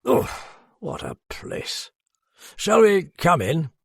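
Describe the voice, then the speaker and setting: Man playing a nervous, husky English gentleman. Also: a little high, sing-song, measured pace, no echo, studio quality, pitched above the performer's own voice, heavily acted. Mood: uneasy. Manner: thick, nervous speech. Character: jumpy bearded English knight, anxious and protective.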